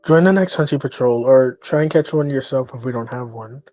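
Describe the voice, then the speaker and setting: A man's voice: mid-range voice, fairly quick, natural voice. A man playing a serious, protective young healer cat in a roleplay audio drama. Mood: determined. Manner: gentle, serious, blunt. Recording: dry, music behind.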